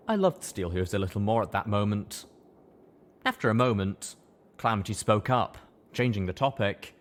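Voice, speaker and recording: A man reads a scripted first-person story as an audiobook narrator. His voice is on the low side, and his intonation is highly animated and expressive. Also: moderate pace.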